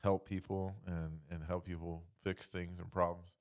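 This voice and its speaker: Low-voiced guy chatting casually, kinda halting, feels around for words. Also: little pitch movement. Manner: hesitant, searching for words. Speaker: podcast panelist leading the questions. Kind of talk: casual chat.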